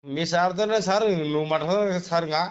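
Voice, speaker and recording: A man's voice: high-pitched, quick pace, smooth. A man in a heated phone conversation, stammering and repeating his words in a flustered way. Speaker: prank call target. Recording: dull lo-fi phone call.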